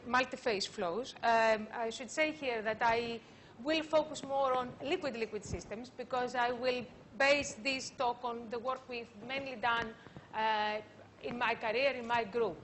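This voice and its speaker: A woman lecturer giving an academic conference talk, speaking in a halting way with frequent filler sounds. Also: on the high side, fairly bright tone, a little hiss or hum.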